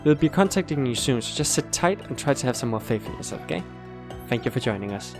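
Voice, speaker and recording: A man playing an encouraging school interviewer in an audio drama, measured and reassuring. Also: medium pitch, lively intonation, over music, natural voice.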